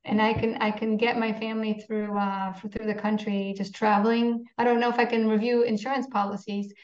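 A woman's voice: on the high side, level tone, brisk, darkish tone. Businesswoman in her 40s, candid, home office, dull roomy call audio. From Poland. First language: Polish. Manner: candid, dry humor, frequent "uh" fillers. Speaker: guest, company CEO. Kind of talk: casual interview conversation. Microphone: video call.

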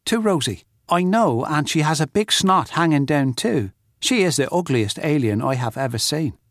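Young schoolboy, chatty and childlike, very animated audiobook letters, close dry sound. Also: male voice, fairly high pitch, moderate pace, no echo. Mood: childish candour. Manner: childlike, simple run-on telling. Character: young schoolboy writing blunt, chatty notes to his friend.

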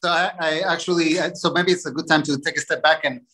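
A man with a fairly high voice talks through the findings of his book as a webinar guest. He restarts sentences often and leans on fillers while he reasons aloud. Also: fairly even intonation, fast, bright, forceful tone. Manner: explanatory, many restarts and fillers. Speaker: author guest. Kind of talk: interview on a webinar.

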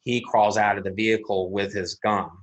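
A man with a husky voice, an interview guest recounting events methodically, step by step, with frequent pauses. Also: lowish voice, little pitch movement. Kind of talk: recounting a personal incident in an interview.

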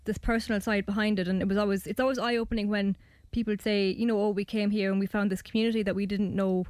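A woman's voice: medium pitch, little pitch movement, fast talker, darkish tone, dry recording. A young Irish woman talking thoughtfully about her documentary and narrating it. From Ireland. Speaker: guest and documentary maker. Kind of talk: interview chat and scripted narration.

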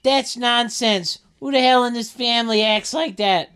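Man putting on a shrill scolding sitcom mom. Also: normal speed, sharp tone, clear, resonant tone. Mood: indignant. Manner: indignant, emphatic. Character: scolding, indignant mother of grown sons, sitcom. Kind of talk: sitcom table read, exaggerated delivery.

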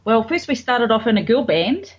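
A woman from New Zealand with a New Zealand accent, a singer chatting in an interview, filling pauses with um. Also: fairly high pitch, sing-song, slightly rough. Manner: chatty, fills with um, quotes others. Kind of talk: casual interview answers. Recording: dull lo-fi audio.

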